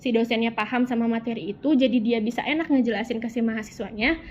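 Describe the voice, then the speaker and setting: Young woman from west java, chatty uni student, kinda high voice, muffled audio w faint hiss. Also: fast talker, darkish tone. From West Java (Sundanese region), Indonesia. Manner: chatty, informal Jakarta slang, frequent fillers. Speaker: university student, interviewee. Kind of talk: casual interview answers.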